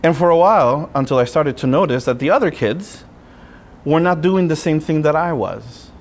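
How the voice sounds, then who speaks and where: A man, a Spanish speaker, preaching a sermon as a friar with personal storytelling, in deliberate phrases broken by pauses. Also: a little high, expressive. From Puerto Rico. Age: 30s.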